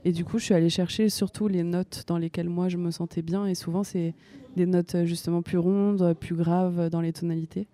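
A woman's voice: fairly low pitch, level tone, a little fast. A young woman from France, a singer-songwriter, speaks casually and a little hesitantly in an interview, with frequent fillers and restarts. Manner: soft-spoken and hesitant, with many fillers and restarts.

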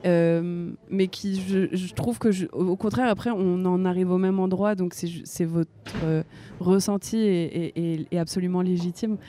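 A young woman from France talks softly in a relaxed, unhurried way. Her voice sounds warm and mellow. She often pauses and restarts as she searches for words. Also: lowish voice. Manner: soft-spoken and hesitant, with many fillers and restarts. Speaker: singer-songwriter guest. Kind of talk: casual interview talk.

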